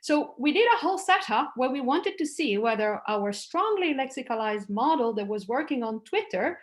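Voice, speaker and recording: A woman's voice: sits high, very animated, moderate pace. A middle-aged woman, a native Italian speaker, giving an academic talk over a video call, conversational and prone to restarts. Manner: conversational, with frequent restarts and "like" fillers. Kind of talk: conference talk over slides.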